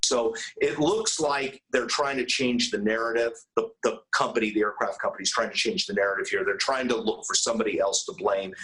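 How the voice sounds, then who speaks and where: A man's voice: on the low side, fairly even intonation, brisk, hardly any pauses, fairly bright tone, poor audio. A man with an American accent giving unscripted expert comment as an attorney in an interview.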